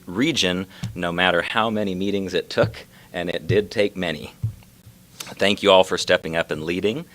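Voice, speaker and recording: Male official, low-pitched, reading a prepared civic statement in a measured, formal way. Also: fairly bright tone. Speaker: local government official at a press announcement. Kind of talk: prepared public speech.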